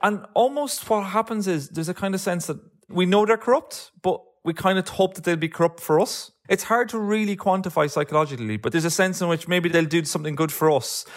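Fast-talking Irish man. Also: high-pitched. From Ireland. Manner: discursive, many "you know" fillers. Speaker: author and guest. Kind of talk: political analysis in conversation.

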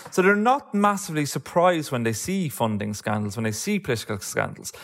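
Fast-talking Irish man. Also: on the high side, very animated, fairly bright tone. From Ireland. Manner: discursive, many "you know" fillers. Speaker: author and guest. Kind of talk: political analysis in conversation.

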